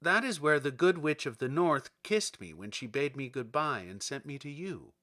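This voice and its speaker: Man putting on an earnest, higher-pitched little girl. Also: animated, normal speed, sharp tone. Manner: earnest, plaintive, simple. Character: earnest, helpless little girl, simple and honest. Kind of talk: dramatic storybook character reading.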